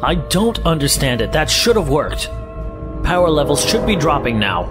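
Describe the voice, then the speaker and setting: Male actor as composed science professor, formal and explanatory, articulate, defensive, sci-fi audio drama, loud music behind. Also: on the high side, big pitch swings, moderate pace. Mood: grave.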